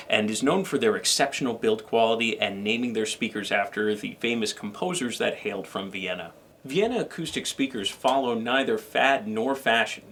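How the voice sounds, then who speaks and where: A man with an average-pitched voice presents a scripted product review. He sounds measured and rather formal, as if reciting prepared lines, and there is faint noise behind him. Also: fairly bright tone. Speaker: show co-host and reviewer.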